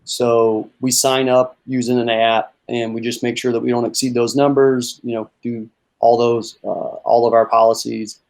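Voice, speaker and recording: Young man, monotone delivery, easygoing coach with a casual, halting style. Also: mid-range voice. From North Carolina. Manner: relaxed, with many fillers and repeated words. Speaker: strength and conditioning coach. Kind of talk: casual interview answers.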